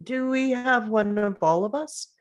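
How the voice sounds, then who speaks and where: A woman reading the part of a bereaved, nostalgic mother in a drama table read, halting and conversational but composed. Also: on the high side, dull audio, close to an everyday voice. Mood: wistful.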